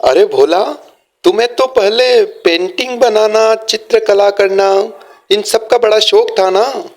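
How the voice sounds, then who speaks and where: A man's voice: high-pitched, slow pace, pitched above the performer's own voice. A man voicing a helpful young merchant's son in a cartoon moral story, eager and explanatory in manner. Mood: encouraging.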